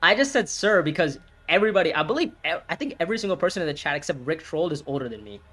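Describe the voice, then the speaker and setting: A man in his early twenties streaming a board game, thinking his moves aloud, reacting loudly and swearing at bad turns. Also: fairly high pitch, big pitch swings, very fast. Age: 20s. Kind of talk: live gameplay commentary, thinking aloud.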